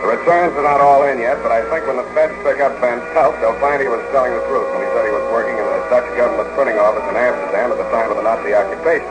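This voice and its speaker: An actor playing a wry, tough private detective in a 1940s radio drama, on an old recording. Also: male voice, mid-range voice, rapid-fire, few pauses, over loud music, natural voice, poor audio. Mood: wry, boastful. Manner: wry, hard-boiled delivery.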